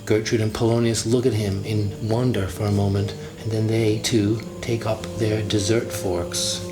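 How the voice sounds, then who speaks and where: A man's voice: lowish voice, little pitch movement, moderate pace, noisy background. A man narrating a literary audiobook in the first person, with dramatic delivery. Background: music.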